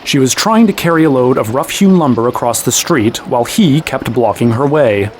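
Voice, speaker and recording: A man's voice: medium pitch, lively intonation, moderate pace, a little hiss or hum, lightly acted. An actor playing a young man who narrates his own story in scripted first person. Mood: measured narrating.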